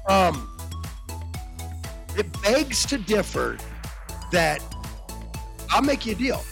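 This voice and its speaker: An American man hosting a casual podcast chat, animated and slangy, with a deep voice that jumps in pitch for emphasis. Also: slow pace, vocal fry, soft background music. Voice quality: deep bassy voice. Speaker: podcast host.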